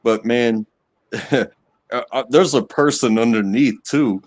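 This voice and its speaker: A man from the United States, a guest on a live stream, talking casually in a halting way with many repeats and fillers. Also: medium pitch, no room sound.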